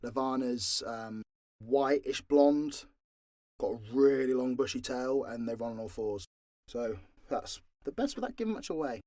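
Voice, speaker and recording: A man's voice: mid-range voice, lively intonation, a little slow, mellow, no room sound, studio quality. A man with a southern English accent answering questions casually and off the cuff, blunt and joking, with frequent swearing. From southern Britain. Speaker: audio story creator and voice actor. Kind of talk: casual, unscripted Q&A.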